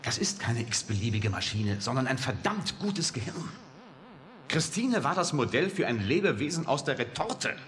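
A man's voice: mid-range voice, very animated, moderate pace, a bit bright. An actor playing a cynical, hot-headed male scientist in a science-fiction radio play, blunt, sarcastic and emphatic. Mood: bitter, sardonic. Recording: noisy vintage radio drama recording.

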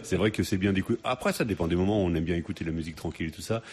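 Husky low-voiced male radio host. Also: rapid-fire, a little mellow. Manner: friendly, laughs often between questions. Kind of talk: casual radio interview.

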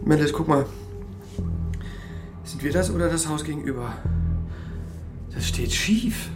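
A man's voice: on the high side, measured pace, close to an everyday voice. A man playing an awkward, easily unsettled young man on a date in a naturalistic audio drama, speaking hesitantly. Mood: uneasy, puzzled. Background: music.

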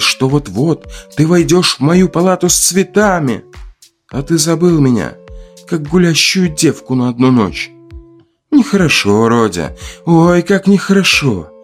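A man voicing a bitter, menacing, jilted woman who taunts and reproaches slowly in a dramatic audio story. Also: on the high side, pitched above the performer's own voice.

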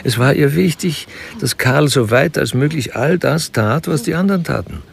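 A man's voice: a little high, lively intonation, moderate pace, creaky, slightly rough. A man giving scripted narration in a German radio crime drama.

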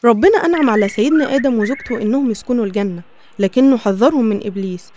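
A woman narrator with an Egyptian accent tells and explains a scripted animated story. Her voice is fairly high and mellow, and she moves along quickly. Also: slight background noise.

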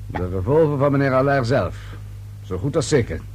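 An actor playing a dry, persistent police inspector in a radio play, questioning in a measured, searching way. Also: male voice, mid-range voice, lively intonation, darkish tone, lightly acted. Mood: probing, dry. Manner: measured questioning with hesitant fillers. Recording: hummy, noisy old radio recording.

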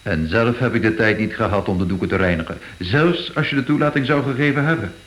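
Actor as a deep-voiced gallery director. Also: male voice, fast talker, a bit bright, slight background noise, close to an everyday voice. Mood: courteous, informative. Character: courteous, practical male gallery director. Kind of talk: radio drama acting.

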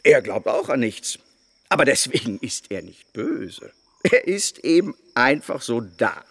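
Wildly swooping, lecherous missionary preacher. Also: male voice, medium pitch, big pitch swings, unhurried, vocal fry, slightly rough, no echo, heavily acted. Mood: mild, philosophical. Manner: rambling, fawning, self-satisfied, with rhetorical questions. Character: eccentric, lecherous male missionary preacher living in the wilderness. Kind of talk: radio play dialogue.

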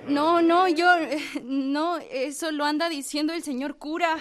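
High-voiced, bashful young mother. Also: steady tone, unhurried, fairly bright tone. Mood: embarrassed, nervous. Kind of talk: radio drama acting.

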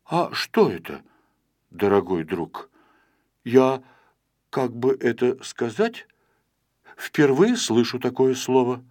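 A man's voice: medium pitch, very animated, slow pace, pauses often, darkish tone. A man voicing a naive, earnest little fellow in an audio storybook, hesitant but politely dignified. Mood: puzzled.

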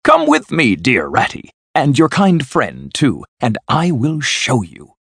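A man voicing a boastful, excitable, rich toad from a storybook, gushing and exclaiming as he talks on. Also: lowish voice, slow pace, breathy edge, no echo, full character voice. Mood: impatient, enthusiastic. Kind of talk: storybook character voice.

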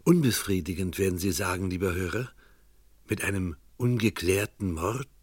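An actor playing the wry storyteller of a 1970s radio crime comedy, narrating with measured irony straight to the listener. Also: male voice, low voice, slightly rough, no room sound, studio quality. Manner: measured, ironic. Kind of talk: scripted radio play narration.

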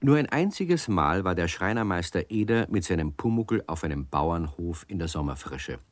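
Low-voiced guy telling a kids story, talks right to the children, dry close sound. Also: lively intonation, moderate pace, no echo. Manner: warm, addresses the children directly. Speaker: narrator.

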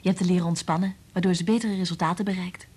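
Husky, troubled wife in a radio play. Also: female voice, fairly low pitch, steady tone, quick pace, a little mellow. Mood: friendly, slightly flustered. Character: troubled married woman, evasive and defensive. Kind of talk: Dutch radio drama acting.

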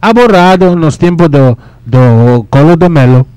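A man playing a boastful, paranoid Brazilian ex-footballer on a radio comedy bit, with a put-on Brazilian Portuguese accent, rambling and halting as he mixes Portuguese into his Spanish. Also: fairly high pitch, lively intonation, a little mellow.

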